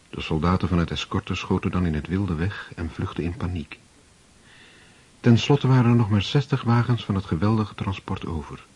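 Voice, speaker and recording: A man's voice: low voice, a little mellow, husky. A man narrating a radio drama in scripted literary storytelling.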